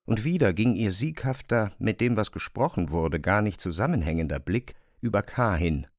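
A male narrator reads scripted literary prose in a fairly low voice. The recording is very dry and close, without any room sound. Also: animated, normal speed, dry recording.

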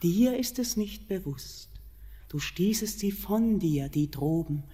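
An actress playing a mysterious, seductive queen of the mountain in a classic radio play, speaking solemnly and sinking into hushed whispers. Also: on the low side, expressive, slow. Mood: entreating. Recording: long hall reverb.

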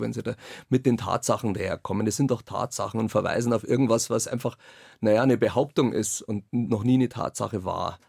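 A man's voice: on the low side, gravelly, darkish tone, breathy edge, no echo, clean studio sound. A man with a Bavarian accent giving conversational interview answers as a radio play author.

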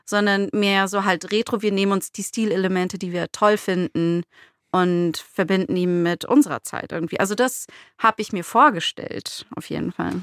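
A woman's voice: mid-range voice, moderate pace, no room sound, studio quality. A woman co-hosting a podcast in casual chat, informally thinking aloud as she goes. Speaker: podcast co-host.

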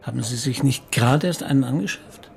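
An actor playing a tactful, sceptical police detective in a radio crime drama, measured and politely insistent. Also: male voice, mid-range voice, creaky, a little mellow, slight background noise, lightly acted. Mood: curious, pensive. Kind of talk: understated radio play acting.

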